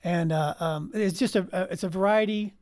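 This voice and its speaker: A man with a high-pitched voice, recorded very dry, talks as a panel guest. His explanation is casual and halting, with words repeated as he thinks. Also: a little mellow, no room sound. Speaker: panel guest from an audio drama team.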